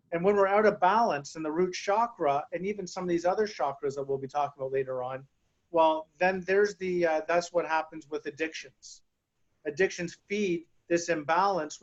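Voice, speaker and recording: A man's voice: a little high, highs cut off. A man explaining grounding and chakras in a casual, hesitant way, full of fillers and restarts, over a video call. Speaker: guest speaker on grounding and chakras.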